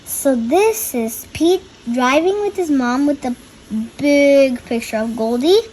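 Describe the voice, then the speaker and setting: Young girl, high voice, storytime read-aloud, explains pictures, faint background noise. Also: slow, darkish tone, low-quality recording. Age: child. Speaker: young reader presenting a picture book.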